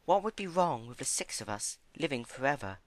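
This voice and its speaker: A man voicing a gentle, timid female pony with a soft, hesitant manner in a dramatic storybook reading. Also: medium pitch, very animated, fairly quick, no echo, voice pushed up, close to an everyday voice. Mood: pensive. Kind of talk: dramatic fan fiction reading.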